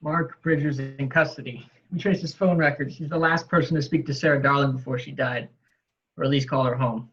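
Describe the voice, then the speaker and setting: A man's voice: medium pitch, steady tone, brisk, fairly bright tone, highs cut off, close to an everyday voice. A man reading a curt, sarcastic police detective in his late thirties, in an amateur table read of a film script. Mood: curt, dismissive.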